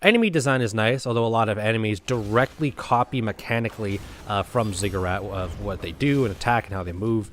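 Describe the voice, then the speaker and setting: A man's voice: lowish voice, brisk, few pauses, a bit bright, soft background music. A man hosting a casual video game review, talking in a blunt, rambling, conversational way.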